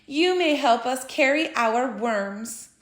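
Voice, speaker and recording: A prim, snooty head bird who sneers at anyone beneath her flock, as a woman storyteller puts her on. The voice jumps high and sharp, with an echo behind it. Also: animated, slow pace. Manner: prim, scornful. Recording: roomy echo.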